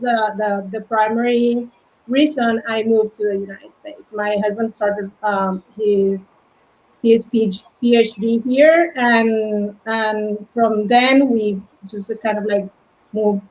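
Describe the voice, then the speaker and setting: A woman from Colombia in her forties with a Colombian Spanish accent, talking haltingly with many fillers as an interview guest. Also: on the high side, fairly even intonation, darkish tone. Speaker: guest, fashion stylist. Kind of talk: casual interview chat. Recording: dull lo-fi audio.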